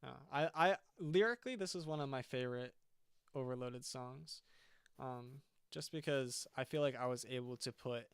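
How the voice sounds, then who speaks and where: A man's voice: medium pitch, normal speed, smooth, no room sound. A young man, a band's singer, chatting casually on a livestream and often stopping to restart his sentences. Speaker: singer and band frontman.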